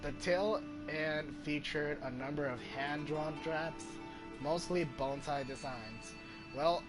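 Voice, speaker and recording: A man live streaming a game, reading story text aloud haltingly, often stumbling and correcting himself. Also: a little high, rough recording. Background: game music.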